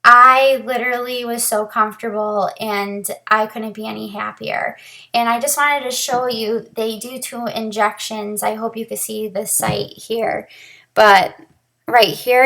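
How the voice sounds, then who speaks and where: Woman with a raspy post-surgery voice. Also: a little high, fairly even intonation, measured pace, pushed and bright, low-quality recording. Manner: candid, frequent ums and restarts. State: raspy, dry throat after breathing tube. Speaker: vlogger sharing her surgery recovery. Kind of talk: casual talk to camera.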